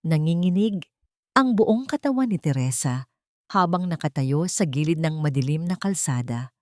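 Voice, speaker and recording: Female audiobook narrator, Filipino accent, low pitch, dramatic scripted storytelling, very dry sound. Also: animated, normal speed, a little mellow, dry recording.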